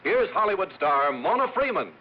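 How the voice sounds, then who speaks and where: An old, dull-sounding radio broadcast. A male commercial announcer delivers punchy sales copy in a high voice that swoops energetically up and down. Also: sing-song, moderate pace. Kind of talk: 1950s radio advertisement read.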